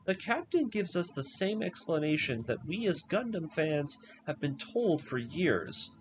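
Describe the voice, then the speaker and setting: A man's voice: on the high side, big pitch swings, measured pace, noisy background. A man narrating a scripted story recap in a dramatic storytelling manner, as in a fan video essay. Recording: voice over background music. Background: music.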